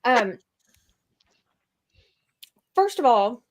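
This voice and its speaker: A woman's voice: a little high, very animated, drawn-out words. A woman co-hosting a casual chat, asking a guest questions in a rambling way. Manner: rambling, lots of fillers and restarts. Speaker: podcast co-host.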